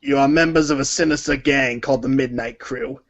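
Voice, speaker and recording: A man's voice: mid-range voice, steady tone, fairly quick, lo-fi sound, slight background noise. A man narrating a comic read-along story in the second person.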